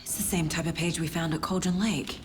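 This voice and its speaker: An actress playing a focused FBI investigator in a video game, thinking aloud in a measured, matter-of-fact way. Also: female voice, low voice, fairly even intonation, faint music behind, natural voice. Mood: focused, pondering. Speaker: FBI agent character in a game. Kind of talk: cinematic game acting, investigative dialogue and inner monologue.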